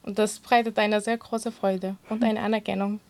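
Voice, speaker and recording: A woman with a Kurdish accent, Kurdish her first language, answering interview questions in an earnest, halting way with many fillers. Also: mid-range voice, level tone, a little mellow. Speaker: interview guest, swimming instructor.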